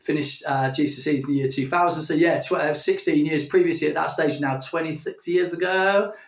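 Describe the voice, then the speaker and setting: A man's voice: fairly high pitch, a little fast. A man, talking casually in a vlog, enthusiastic and rambling with plenty of filler words. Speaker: language-learning YouTuber. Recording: echoey room, distant from the mic.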